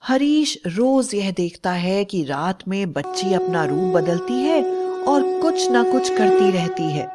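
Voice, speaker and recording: A woman's voice: medium pitch, big pitch swings, slow, over loud music. A woman narrating a scripted moral story in a storybook style.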